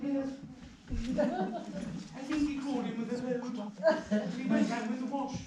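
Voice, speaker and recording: A woman acting a very high-pitched pantomime dame, brash and bossy, swooping through broad comic lines at a slow, stagey pace. The voice is distant in a very echoey hall, with a loud, noisy audience. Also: crowd noise. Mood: brash, joking. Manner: broad, theatrical comic delivery. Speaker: pantomime performer. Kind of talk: live stage pantomime comedy. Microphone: far camera microphone.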